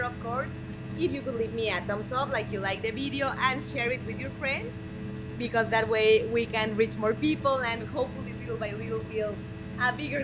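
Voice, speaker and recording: A woman's voice: on the high side, heavy background noise. A woman who is a native Spanish speaker, hosting a game live stream and chatting encouragingly with her viewers. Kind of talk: live stream chat, reading comments aloud.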